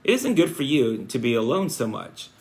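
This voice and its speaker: A man's voice: medium pitch, sing-song, moderate pace. A man reading aloud as a worried, stern farm mother in a storybook, scolding and anxious in manner. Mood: gently concerned.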